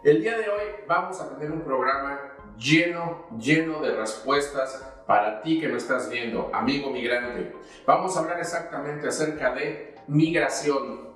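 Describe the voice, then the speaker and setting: A man's voice: a little high, moderate pace, fairly bright tone, faint music behind. A male show host who explains the law to viewers, addressing them directly and posing questions. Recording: echoey, distant from the mic.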